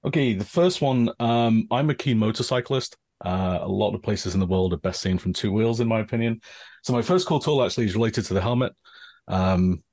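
A middle-aged man with an English accent, a guest calmly explaining gear with frequent fillers. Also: fairly low pitch, dry recording, clean studio sound. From northern England. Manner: relaxed, explanatory, with many fillers. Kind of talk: casual explaining.

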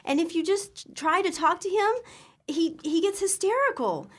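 A woman's voice: extremely high pitch, animated, normal speed. A woman playing a fretful but caring middle-aged mother in a radio comedy, pleading earnestly. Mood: worried, exasperated. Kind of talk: old-time radio situation comedy acting.

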